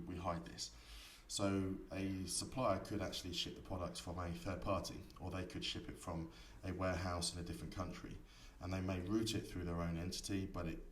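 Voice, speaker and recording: A man giving a software tutorial, explaining each setting step by step in an instructor's manner. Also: deep voice. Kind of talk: screen-recorded tutorial explanation. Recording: echoey room, distant mic, faint noise.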